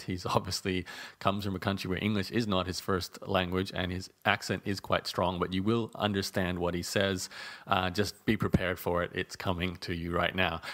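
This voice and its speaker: Deep-voiced man hosting an interview. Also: a bit bright. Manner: chatty, frequent restarts and repeated words. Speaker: podcast host.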